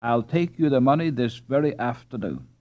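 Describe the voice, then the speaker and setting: A man's voice: medium pitch, lively intonation, normal speed, dark tone, lo-fi sound, close to an everyday voice. A man voicing a kind, gaily teasing young Dutch girl who pleads sweetly in a storybook. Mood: earnest, pleading. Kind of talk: storybook character reading.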